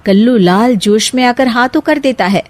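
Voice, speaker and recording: A woman's voice: a little high, normal speed, darkish tone. A woman narrating a scripted comic family story as a storyteller.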